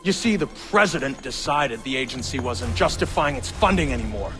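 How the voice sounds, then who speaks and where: An actor playing a smug, ruthless rogue agency boss who taunts with drawn-out emphasis in a dramatic video game. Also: male voice, medium pitch, expressive, over music, natural voice. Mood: smug, menacing.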